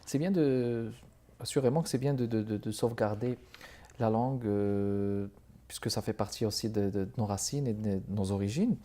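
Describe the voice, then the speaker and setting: A man hosting a television arts interview, asking long questions in a halting way and repeating words as he searches for them. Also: on the low side, dark tone. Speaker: TV arts show host.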